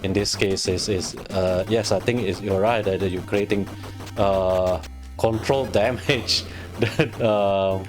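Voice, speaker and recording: A man's voice: lowish voice, fairly even intonation, takes it slowly. A man from Indonesia with an Indonesian accent, a scientist guest explaining in a casual, hesitant way with many fillers and restarts. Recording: music playing behind.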